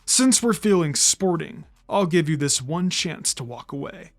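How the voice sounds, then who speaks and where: A man's voice: fairly high pitch, expressive, unhurried. A man voicing a menacing, smug young ninja villain with a taunting, formal manner in a story reading. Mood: smug menace.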